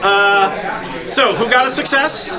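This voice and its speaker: A man acting as game master at a live tabletop game, explaining rules and narrating in a halting, informal, encouraging way. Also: sits high. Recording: noisy live convention stream, loud crowd behind. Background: crowd noise.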